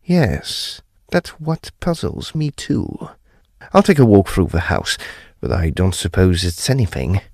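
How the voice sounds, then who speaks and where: A man's voice: deep voice, wildly swooping pitch, measured pace, darkish tone, dry recording, clean studio sound, exaggerated voice. A male actor as an uneasy, well-mannered son telling a ghost story in an audio drama. His delivery is formal and old-fashioned, with dramatic, wildly varied intonation. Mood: reassuring. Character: polite, uneasy adult son recounting a haunting.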